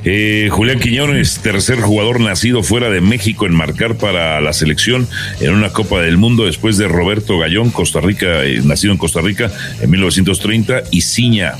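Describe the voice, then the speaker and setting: A man's voice: lowish voice, moderate pace, a bit bright, faint music behind. A man hosting a football panel discussion in an informal, colloquial way. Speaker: sports talk show host.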